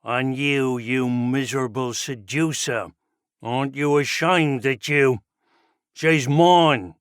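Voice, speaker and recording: An actor playing a gloomy, hammy performer who poses as a jealous rogue in an audio play, with a theatrical manner. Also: male voice, mid-range voice, slow pace, clean tone, no room sound, studio quality, natural voice. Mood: melodramatic menace.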